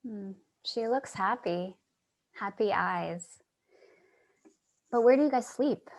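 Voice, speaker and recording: A woman acting a sympathetic, curious, lonely character in a staged play reading, asking gently and tentatively. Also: mid-range voice, slow. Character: sympathetic, curious, lonely listener named Charlie. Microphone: video call.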